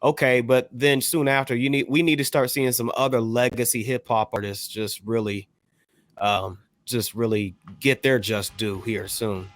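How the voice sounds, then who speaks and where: A man co-hosting a casual hip hop discussion, circling around his point in a measured, qualifying way. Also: mid-range voice, fairly even intonation, no room sound. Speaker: podcast co-host.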